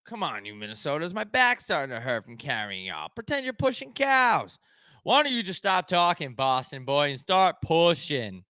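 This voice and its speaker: Man acting out a forceful, demanding hockey coach. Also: on the high side, wildly swooping pitch, normal speed, clear, resonant tone, no echo, studio quality, full character voice. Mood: needling. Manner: commanding, repetitive, motivational. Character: gruff, demanding male hockey coach driving his players. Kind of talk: one-man film reenactment.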